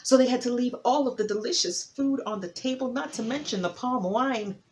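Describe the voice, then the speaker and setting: A woman narrating a folktale as a storyteller, with theatrical emphasis and dramatic pauses. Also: a little high, a little hiss or hum.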